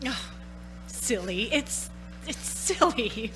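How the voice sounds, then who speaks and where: Actress as anxious housewife, slow and lilting. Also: female voice, fairly high pitch, very animated, music in the background, low-quality recording. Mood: uneasy, motherly. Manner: hesitant, faltering, theatrical. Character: anxious 1940s-style suburban housewife and mother. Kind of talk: stage radio-play acting.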